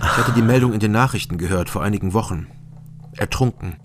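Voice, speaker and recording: A man's voice: on the low side, fast, background noise, close to an everyday voice. An actor playing a laconic East German ex-policeman and private detective in a radio crime drama, terse and matter-of-fact. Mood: guarded. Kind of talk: radio crime drama, first-person narration and dialogue. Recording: music behind the voice.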